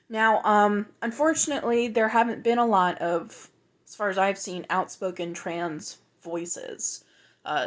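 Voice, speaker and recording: A woman of twenty-five, talking in a reflective, hesitant way with many pauses and restarts for a personal video journal. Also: on the low side.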